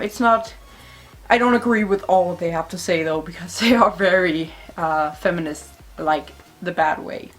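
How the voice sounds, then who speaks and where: A woman's voice: mid-range voice, a bit bright. A young woman with a Danish accent, a native Danish speaker, chatting bluntly and casually to camera in a vlog, swearing freely and laughing often. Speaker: vlogger.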